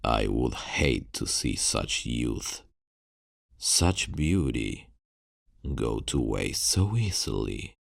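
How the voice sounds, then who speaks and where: A man playing a mysterious, courteous, brooding vampire in an ASMR roleplay, speaking in formal, deliberate phrases with a deep, gravelly voice. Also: sing-song, no echo, studio quality, heavily acted. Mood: tender, possessive. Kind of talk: ASMR roleplay, slow scripted monologue.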